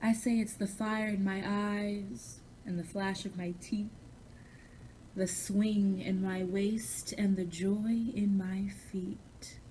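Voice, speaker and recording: A woman's voice: medium pitch, flat delivery, takes it slowly, mellow, a little hiss or hum, poor audio. A woman performing poetry dramatically, with a sassy, self-assured, theatrical delivery. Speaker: poetry reader, actor.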